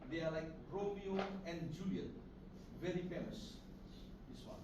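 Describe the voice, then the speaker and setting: A man's voice: on the high side, a little slow. A man with an Indonesian accent explains his craft to visitors as a puppet maker, in simple broken English and short phrases. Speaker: puppet maker and master giving a demonstration. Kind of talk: informal craft demonstration to visitors. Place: puppet studio, indoors. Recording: distant mic, echoey room, loud background noise.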